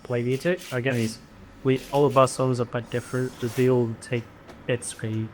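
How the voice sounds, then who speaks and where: A man's voice: medium pitch, flat delivery, normal speed, darkish tone. Young man from Devon, chatting casually. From Devon, England. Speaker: band singer and guitarist. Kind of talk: casual interview chat. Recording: ambient room noise behind.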